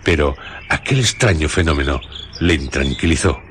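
A man narrating a scripted radio drama in a measured, literary way. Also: extremely low pitch, lively intonation, noisy background.

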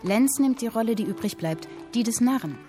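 An actress playing a woman who reads a literary tale aloud in a measured, expressive storytelling manner, in a radio drama. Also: fairly high pitch, soft background music, close to an everyday voice. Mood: measured, intent. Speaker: narrator reading a literary account. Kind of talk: dramatic literary narration in present tense.

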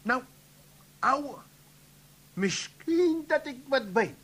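A blunt Amsterdam pub regular with a high, bright voice. He talks colloquially and bossily, like a street-wise husband, in a 1980s comic radio play with faint noise behind. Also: lively intonation, very slow, exaggerated voice. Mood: musing.

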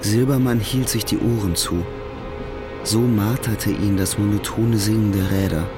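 A man serves as narrator, reading literary prose from a script. His voice sits a little low, with music clearly playing behind it. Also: steady tone, a little slow.

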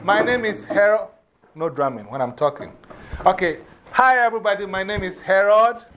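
A man's voice: high-pitched, moderate pace, fairly bright tone. A man with a Ghanaian accent giving informal classroom instruction, directing people and repeating his instructions. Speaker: teacher of a drumming and English class.

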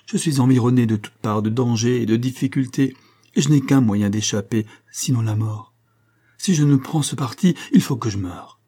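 Man voicing a passionate, husky young woman. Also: fairly low pitch, fairly quick, mellow. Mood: desperate pleading. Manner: imperious and emphatic, swinging to pleading. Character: passionate, proud young married woman pleading with her father.

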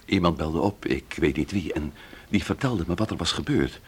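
Deep-voiced, animated thriller leading man. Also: moderate pace, husky. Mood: calm, concerned. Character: urgent, protective brother-in-law in a thriller. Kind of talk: Dutch radio thriller serial acting. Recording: faintly noisy old radio drama recording.

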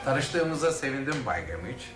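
A male actor playing a polite, anxious old gentleman of an estate in a radio play, formal and a little halting. His pitch rises and falls with lively movement. Music plays clearly behind him. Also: natural voice. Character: older male aristocrat, courteous and worried. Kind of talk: 1970s radio drama acting.

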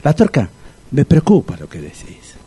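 An actor playing an anxious, argumentative man in his sixties in a comic radio drama, with a husky Rioplatense Argentine accent and a pedantic, repetitive manner. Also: mid-range voice, huge pitch swings, moderate pace, mellow, heavily acted. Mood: worried. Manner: exasperated, pedantic, repeats himself. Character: Tito, anxious, argumentative sixty-year-old man, lovesick amateur psychologist.

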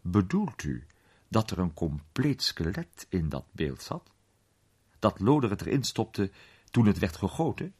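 A man puts on an impressed, curious club gentleman in a storybook tale. He exclaims and stammers over words in a husky voice that swoops in pitch. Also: big pitch swings, a little slow, exaggerated voice. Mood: amazed. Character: Armstrong, curious, impressed club listener full of questions. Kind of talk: dramatized storybook reading.

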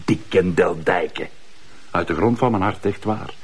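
A man's voice: deep voice, normal speed, slightly rough. A man with a Flemish accent playing a sardonic, threatening character with an old grudge in a TV crime drama. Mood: veiled menace. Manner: insinuating, threatening. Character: menacing, sardonic, suspicious male acquaintance nursing old grudges.

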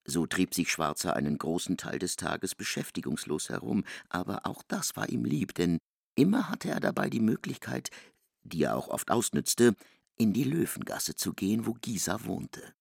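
A man's voice: low-pitched, big pitch swings, moderate pace, vocal fry, husky, no room sound, clean studio sound. A man narrating literary prose for a radio play, expressively, in pronunciation.

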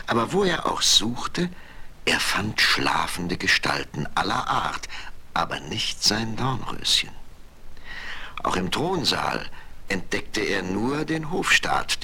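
An actor as an expressive, dramatic narrator of a 1970s German fairy-tale audio drama. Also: male voice, low voice, breathy edge, exaggerated voice. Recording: faintly noisy vinyl record.

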